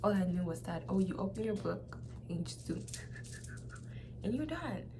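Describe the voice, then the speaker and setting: A young woman with a Nigerian accent shares a personal testimony in a casual, halting way, often repeating phrases for emphasis. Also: mid-range voice, lively intonation, noisy background. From Nigeria (Lagos). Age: young adult. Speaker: vlogger.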